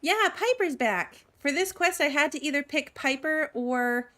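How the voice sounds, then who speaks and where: A woman's voice: high-pitched, animated, a little slow, sharp tone. A woman streaming a video game, chatting casually and rambling with lots of "like". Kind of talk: casual gameplay commentary.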